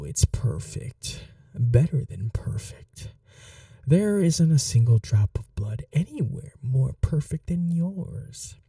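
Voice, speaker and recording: A performer voicing an obsessive, possessive, starving vampire in a hushed, lingering ASMR roleplay, often slipping into breathy whispers. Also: mid-range voice, no echo, studio quality, recorded from a distance. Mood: hungry, rapturous.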